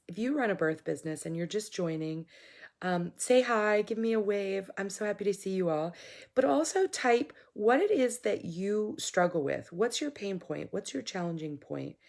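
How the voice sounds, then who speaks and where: A woman with a hoarse voice, a midwife hosting a casual live stream, talking frankly and warmly to viewers with many fillers. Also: mid-range voice. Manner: chatty, frank, coaching tone with fillers.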